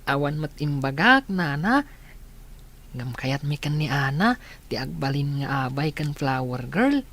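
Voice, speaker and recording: Cheeky low-toned Filipino boy voiced by a woman. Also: sing-song, a little slow, fairly bright tone, a little hiss or hum, exaggerated voice. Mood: teasing. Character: cheeky, affectionate young village boy. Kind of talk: radio comedy drama acting.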